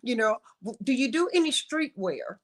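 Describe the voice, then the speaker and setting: A woman host leads an interview in a fairly high voice. She showers her guest with gushing praise and playful slang, keeping the tone lively and flattering. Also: moderate pace. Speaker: talk show host. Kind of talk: casual interview.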